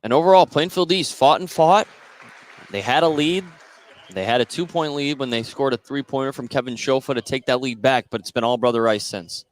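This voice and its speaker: American guy doing live radio play by play for a hoops game, medium pitch. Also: fairly quick. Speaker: play-by-play basketball announcer. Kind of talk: live sports radio commentary. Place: indoor basketball gym during a game.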